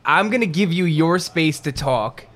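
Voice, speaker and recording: A man co-hosting a podcast, talking in a chatty, animated, self-deprecating way. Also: fairly high pitch, normal speed. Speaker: podcast co-host. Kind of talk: casual podcast chat.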